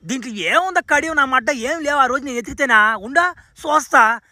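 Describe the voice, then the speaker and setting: A man's voice: very high voice, expressive, fairly quick, pushed and bright, no echo, studio quality, full character voice. A man voicing a brash, crude young superhero son in an amateur cartoon dub. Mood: baffled, irritated. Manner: colloquial, crude, exclamatory. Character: brash, foul-mouthed young superhero son, easily baffled.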